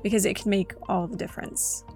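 A woman's voice: mid-range voice, fairly even intonation, fairly quick, darkish tone, faint music behind. A woman with a scratchy voice hosting a faith podcast, reading her own story in an earnest, reflective manner from a script. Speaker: podcast host. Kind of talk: scripted devotional podcast narration.

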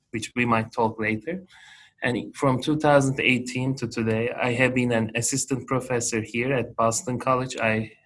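A man's voice: mid-range voice, rough recording. A man in his forties with a Turkish accent, a guest researcher answering interview questions earnestly and methodically, with small restarts. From Turkey.

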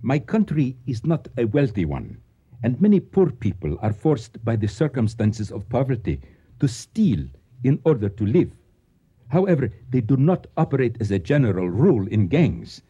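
An official in a radio play, dark and husky in voice. His delivery swings widely in pitch while staying formal, careful and deferential. The recording is dull. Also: very animated, moderate pace. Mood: courteous, careful. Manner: formal, precise, elaborately courteous. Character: polite Egyptian antiquities official, formal, deferential, careful uncle. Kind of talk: radio drama acting.

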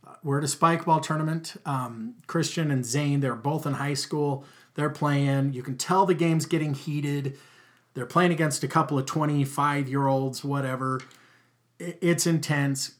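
A middle-aged man with a loud voice, talking emphatically in a casual podcast conversation and repeating his key words. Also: on the high side, steady tone, measured pace. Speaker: podcast guest, father.

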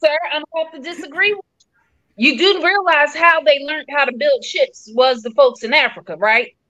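Bright, high-voiced woman on dull mic. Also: moderate pace. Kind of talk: casual chat.

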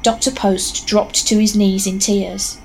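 A woman's voice: medium pitch, level tone, unhurried, creaky, a little mellow, a little hiss or hum, low-quality recording. A woman narrating a horror audio drama as a wry storyteller. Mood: suspenseful. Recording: faint outdoor ambience behind.

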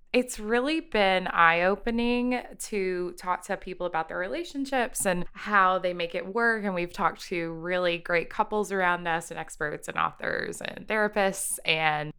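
Woman, extremely clear resonant voice, bright, casual interview chat, co-host. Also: mid-range voice, a little slow. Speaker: podcast co-host.

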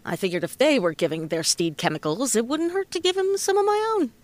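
A woman's voice: on the high side, expressive, no echo, close to an everyday voice. A woman playing a wisecracking, cocky hardboiled detective in a comedic period noir audio play, slangy and sardonic. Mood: wry, casual. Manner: slangy, sardonic, quick with quips.

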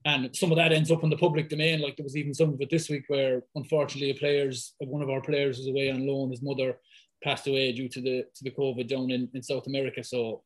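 A man in his thirties with a thick Irish accent, talking as a guest in a casual podcast conversation, lively and full of fillers. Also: mid-range voice, rough recording. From west of Ireland. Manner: fast, lively talker, many fillers. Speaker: guest, football fitness coach.

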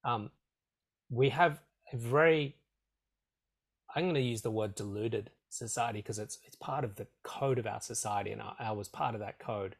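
A man with a slightly croaky throat, a meditation teacher giving earnest, measured answers in an interview and repeating phrases for emphasis. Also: mid-range voice. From Australia.

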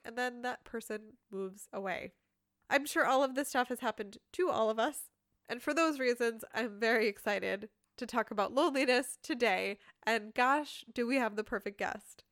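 A woman with a fairly high voice hosts a podcast, chatting casually and rambling with frequent giggles. She is recorded close and dry, with no room sound around her. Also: fairly bright tone, no room sound. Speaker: podcast host.

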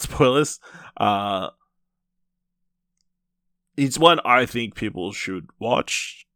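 A man hosting a podcast, casually reviewing films in a rambling, halting way with repeated false starts. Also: lowish voice, vocal fry, a bit bright, dry recording, studio quality. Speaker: podcast host. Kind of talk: casual film review chat.

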